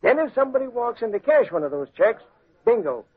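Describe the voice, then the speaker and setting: A man's voice: very high voice, big pitch swings, fairly quick, husky, exaggerated voice. An actor playing a brash, slangy newspaperman with an emphatic manner in a 1940s radio drama. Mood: matter-of-fact. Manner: emphatic, colloquial. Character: brash, slangy male newspaperman reporting to his chief. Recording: tinny narrow old radio recording.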